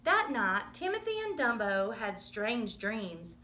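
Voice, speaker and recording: A woman reading a children's story aloud in an expressive, dramatic storytelling manner. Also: fairly high pitch, slow pace. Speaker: storybook reader.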